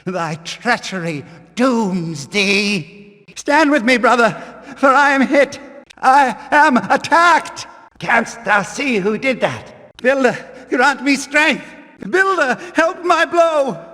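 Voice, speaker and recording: An actor playing a stern, combative religious guard who hunts thieves in a video game, with declamatory, archaic, commanding speech. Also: male voice, very high voice, lively intonation, slow, pushed and bright, full character voice. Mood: outraged, commanding, then wounded, pleading. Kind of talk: video game voice acting, archaic battle barks. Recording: reverberant hall-like echo.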